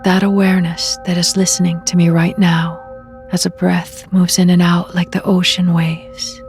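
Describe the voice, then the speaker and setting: A woman leading a guided meditation, speaking in measured, deliberate phrases with soft endings and pauses. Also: lowish voice, little pitch movement. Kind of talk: guided meditation, slow spoken readings with long pauses. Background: meditation music at beginning and end.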